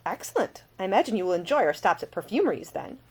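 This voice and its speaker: A woman voicing a prim, talkative young lady in her twenties in an audiobook, formal, brisk and precise. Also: mid-range voice, sing-song, slightly rough. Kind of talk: audiobook character dialogue.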